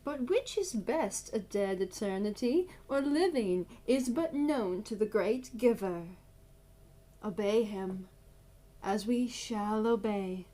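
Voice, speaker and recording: A woman performing a proud, loving young woman facing death in a classical verse monologue, declaimed gravely and with measured weight. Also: mid-range voice, expressive, dark tone. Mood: proud resignation.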